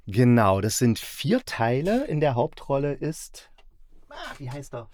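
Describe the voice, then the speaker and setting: A man's voice: medium pitch, wildly swooping pitch, measured pace, darkish tone. A man hosting a podcast, talking casually in a conversational, unscripted way. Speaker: podcast host reviewing radio plays.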